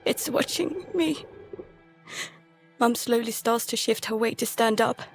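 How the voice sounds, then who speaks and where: A frightened girl, slow and creaky. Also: a little high, faint music behind. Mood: panicked. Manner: halting, fragmented, hushed dread breaking into pleading. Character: terrified girl narrating survival of an infected outbreak. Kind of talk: first-person audio drama narration.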